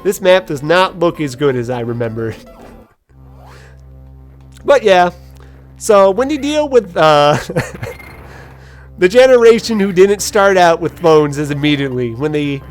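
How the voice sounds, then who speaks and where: A gaming video host giving casual gameplay commentary, telling personal stories in a rambling, halting way with quoted asides. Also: medium pitch, animated. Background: game music.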